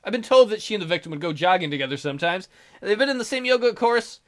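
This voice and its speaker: Animated woman narrating a game playthrough. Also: mid-range voice, fast talker. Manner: irreverent, jokey. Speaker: let's play host reading game text. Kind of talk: narrating a visual novel with joking asides. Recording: music behind the voice.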